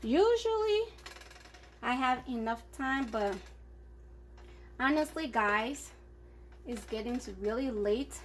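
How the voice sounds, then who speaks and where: An upbeat, chatty woman talks her viewers through a home cooking session. Her voice sits a little high, and she is picked up by a phone camera aimed at the stove. A faint hum from the kitchen sits underneath. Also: a bit bright. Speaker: cooking vlogger. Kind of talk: casual cooking chat.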